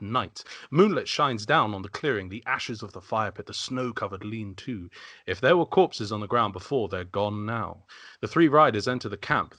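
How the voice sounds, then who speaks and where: A man serves as narrator at an amateur table read, reading scripted stage directions. His voice sits fairly low and the sound is dry, with little room in it. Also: fairly bright tone, dry recording.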